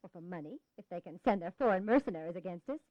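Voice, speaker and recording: A woman's voice: lowish voice, lively intonation, racing, no echo, lightly acted. A woman acting a gracious colonial wife, muffled recording. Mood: earnest. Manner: polite, earnest, formal. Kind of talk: dramatized history audio acting. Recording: dull, lo-fi 1980s audio drama.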